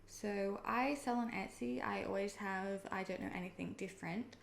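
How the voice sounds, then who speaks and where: A woman's voice: mid-range voice, normal speed, creaky. A young Australian woman explaining things in a friendly, chatty vlog, often saying "um" and "personally". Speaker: online seller and video creator. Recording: echoey room with faint noise.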